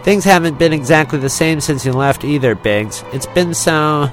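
A man voicing an excitable, boastful young farm-boy pilot in an audiobook, with eager enthusiasm. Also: on the high side, normal speed, soft background music, pitched above the performer's own voice, lightly acted. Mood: earnest.

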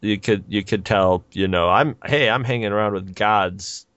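A man offers wry comments as a podcast guest. His lowish voice is smooth and resonant. The sound is dry and a bit muffled. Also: normal speed, a bit bright, no echo. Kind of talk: casual podcast chat. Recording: dull lo-fi dry audio.

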